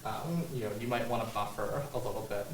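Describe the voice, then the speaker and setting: A man's voice: medium pitch, quick pace, noisy background. A man from a land conservation group speaking hesitantly at a public panel, with many fillers. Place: library meeting room. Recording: echoey room, far from mic.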